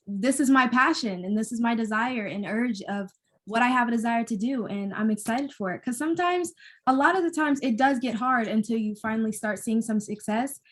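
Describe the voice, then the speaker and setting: A young woman co-hosting a podcast, chatting in an upbeat, effusive and emphatic way. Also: fairly high pitch. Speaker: podcast co-host.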